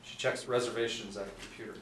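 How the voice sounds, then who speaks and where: Young guy reading out script action lines, lowish voice, echoey room, faint noise. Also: fairly even intonation, quick pace, a bit bright, far from the mic. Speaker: narrator reading stage directions. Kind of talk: scripted narration at a table read. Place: small meeting room, seated in a row.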